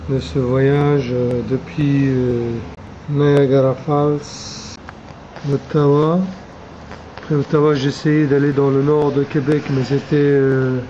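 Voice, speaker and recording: A man with a French accent talks through his long drive, halting and restarting with many fillers. The recording is dull and lo-fi, with clear background noise throughout. His pitch sits in the middle range. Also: dark tone. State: tired. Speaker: traveler narrating a road trip. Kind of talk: casual travel narration. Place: in a car and outdoors by a river.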